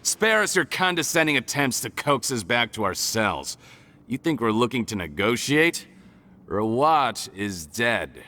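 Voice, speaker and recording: A man's voice: medium pitch, big pitch swings, a little slow, sharp tone, exaggerated voice. An actor playing a cold, calculating male criminal leader in a game cutscene, talking down to the others. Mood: mocking. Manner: condescending, calculating.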